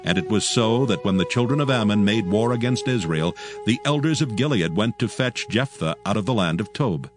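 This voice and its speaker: A man with a fairly low voice narrates scripture in a formal, measured way. Faint music runs beneath his scripted Bible storytelling. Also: expressive.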